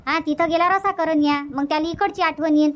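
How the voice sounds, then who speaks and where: A woman's voice: high voice, fairly even intonation, fast, natural voice. A woman voicing a grumbling, talkative village grandmother in a cartoon comedy, with a Varhadi Marathi accent, on a lo-fi recording. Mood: exasperated. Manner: chatty, complaining, repetitive. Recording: dull, lo-fi, faint noise.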